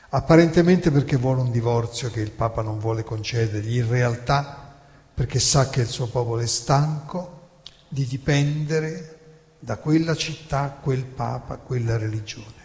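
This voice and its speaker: A man's voice: mid-range voice, a little mellow. Man narrating theatrically in a reverberant hall. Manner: rhetorical, deliberate, emphatic phrasing with dramatic pauses. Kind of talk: theatrical historical lecture monologue.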